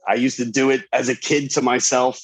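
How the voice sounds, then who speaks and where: Male, forties, New York accent, emphatic sports-podcaster chat, mid-pitched, repeats phrases as he goes. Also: flat delivery, brisk, slightly rough. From New York, USA. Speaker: sports podcast host, interview guest. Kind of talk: casual interview chat.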